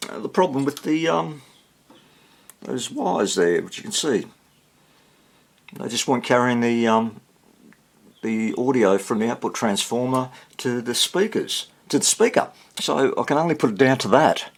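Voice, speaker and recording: A man giving a casual hands-on repair demonstration, muttering to himself and pausing between short phrases as he thinks aloud. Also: medium pitch, lively intonation, creaky. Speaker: hobbyist radio repairer presenting his workshop video.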